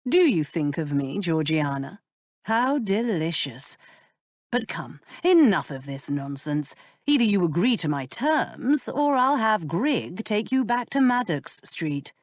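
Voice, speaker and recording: Sly aristocratic gentleman, slow amused drawl, period storybook, read by a woman. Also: fairly low pitch, big pitch swings, no echo. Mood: teasing. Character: teasing aristocratic male earl, languid and sly.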